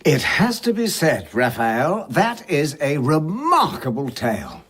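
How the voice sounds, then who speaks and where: Animated, curmudgeonly English gentleman. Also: fairly high pitch, unhurried, husky, full character voice. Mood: wry. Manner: wry, formal. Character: dry, curmudgeonly but courteous English gentleman. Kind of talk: audio drama dialogue.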